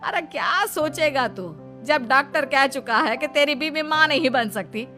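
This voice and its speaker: Woman acting as older mother-in-law, high-pitched, stubborn, scolding, bossy, Hindi cartoon drama, background music. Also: moderate pace, sharp tone. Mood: scolding, angry. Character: domineering older mother-in-law obsessed with a grandchild.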